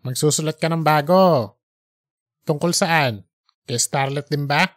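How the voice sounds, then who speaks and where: A man's voice: on the high side, unhurried, no room sound, studio quality, natural voice. A man voicing an exasperated newspaper editor who questions sharply while holding back his irritation, in an audiobook story. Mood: exasperated, stern. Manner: restrained irritation, pointed questioning.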